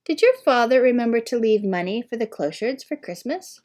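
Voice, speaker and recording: A woman's voice: on the high side, animated, normal speed, a little mellow, natural voice. A woman voicing a blunt, aloof, intent young girl in a storybook, with a curt, matter-of-fact way of talking. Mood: pointed. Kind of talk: storybook character dialogue.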